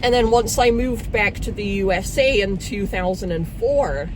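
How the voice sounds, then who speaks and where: A woman's voice: medium pitch, moderate pace. A young woman from Scotland telling her own story in a casual vlog, hesitant, with many "um"s and restarts, speaking into a phone while in a car in traffic. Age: young adult. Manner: hesitant, frequent "um" and "basically", self-correcting. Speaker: vlogger. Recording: loud road and traffic noise in a car. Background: vehicle and traffic noise.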